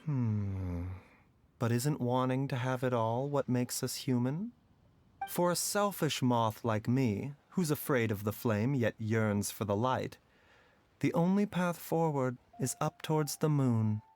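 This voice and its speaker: A man voicing a composed physician in a video game who speaks in a measured, calm and reflective way. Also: medium pitch, natural voice. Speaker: doctor.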